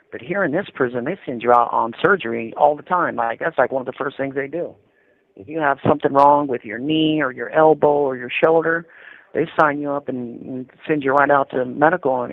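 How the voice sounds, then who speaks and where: A man's voice: medium pitch, fairly quick, dry recording. An American man in his fifties speaks over a thin, narrow telephone line. He tells his experiences in a conversational, explanatory way, often circling back to repeat a point. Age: 50s. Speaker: incarcerated interviewee calling from prison. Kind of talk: casual phone interview, recounting experiences. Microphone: prison telephone.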